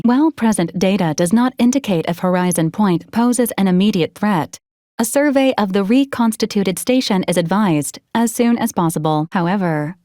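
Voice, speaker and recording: A woman playing a formal, dutiful female ship's AI in a video game, speaking in a measured, clipped, impersonal way. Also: medium pitch, warm tone, no room sound, studio quality, natural voice. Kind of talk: scripted video game voice acting.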